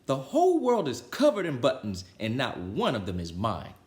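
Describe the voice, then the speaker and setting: Grumpy male toad, acted by a man, storybook character, exasperated wailing, highly animated pitch, roomy echo. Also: moderate pace. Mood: exasperated, angry. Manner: exclaiming, wailing complaints.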